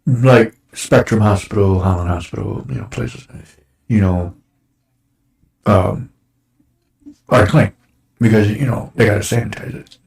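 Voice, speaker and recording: Low gravelly man in his forties, rambling. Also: measured pace, pauses often, darkish tone. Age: 40s. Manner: rambling, with many fillers and restarts. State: slightly raspy from breathing dust. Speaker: show host. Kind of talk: casual chat. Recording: roomy echo.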